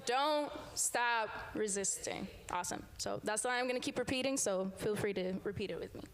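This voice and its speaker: A woman's voice: medium pitch, a little fast, a little hiss or hum. A young woman from Sudan, a teacher, performing spoken word poetry live before an audience, with a rhythmic, emphatic refrain. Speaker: poet and teacher. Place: live event room with an audience.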